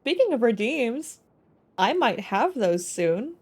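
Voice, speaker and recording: A woman's voice: on the high side, sing-song, takes it slowly, darkish tone, slightly rough. A woman in her twenties chatting casually as she streams a video game, with music behind her. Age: 20s. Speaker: live streamer. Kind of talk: casual gaming stream chat.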